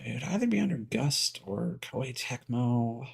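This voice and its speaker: Man live streaming, slow with swooping pitch. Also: very animated, vocal fry, husky. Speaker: video game live streamer. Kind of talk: casual live stream chat, thinking aloud while troubleshooting.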